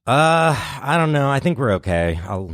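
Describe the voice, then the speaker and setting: A man's voice: medium pitch, big pitch swings, moderate pace, a bit bright, no room sound, clean studio sound. A man chatting casually as a comedian guest on a podcast, wry and self-mocking.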